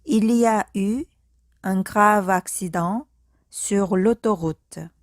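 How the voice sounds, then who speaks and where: Woman, language teacher, slow careful drill, phrase-by-phrase repetition, mid pitch, dry close recording. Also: dry recording. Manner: slow, careful, repeats each sentence phrase by phrase. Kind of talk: slow speaking-practice drill.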